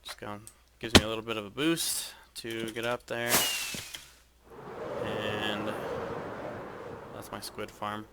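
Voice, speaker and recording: Monotone low-voiced man touring his game build. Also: takes it slowly, pauses often, very noisy. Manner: monotone, hesitant with many ums. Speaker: gaming video creator. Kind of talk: casual game walkthrough.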